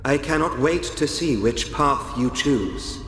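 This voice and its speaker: A man playing a calm, mysterious guide in a game cutscene, deliberate and enigmatic. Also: medium pitch, faint music behind. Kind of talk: dramatic game cutscene acting. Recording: echoing reverb with faint noise.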